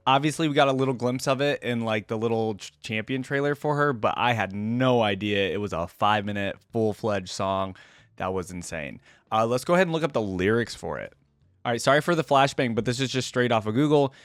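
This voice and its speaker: A man's voice: mid-range voice, expressive, a little fast, no echo, clean studio sound. A man hosting a reaction video, commenting casually and thinking aloud in slangy, everyday language. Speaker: host of a game reaction video channel.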